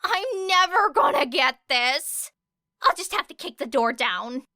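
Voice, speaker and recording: A woman voicing an excitable, dramatic teenage schoolgirl in a story. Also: sits high, measured pace, dry recording, studio quality, voice pushed up, full character voice. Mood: frustrated, whiny. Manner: exclaiming, dramatic. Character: excitable, bubbly, whiny teenage girl student.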